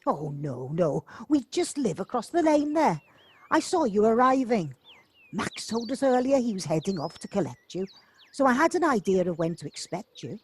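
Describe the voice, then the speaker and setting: An actress playing a kindly, chatty village neighbour and housekeeper in an audio play, homely and helpful. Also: female voice, medium pitch, big pitch swings, moderate pace, lightly acted. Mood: kindly, welcoming. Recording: home device recording, light noise, clicks.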